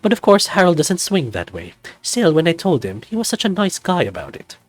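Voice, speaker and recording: A man's voice: a little high, wildly swooping pitch, a little fast, no echo, pitched above the performer's own voice. Man putting on a flamboyant, lilting older snow leopard. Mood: wistful, fond.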